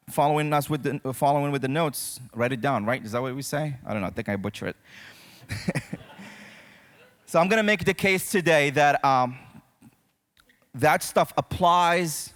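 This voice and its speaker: Male preacher from Morocco, slightly high voice, conversational sermon delivery, big reverberant hall. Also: a bit bright. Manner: conversational, addresses listeners directly with check-in questions. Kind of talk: sermon to a congregation. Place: church auditorium, speaking to a congregation.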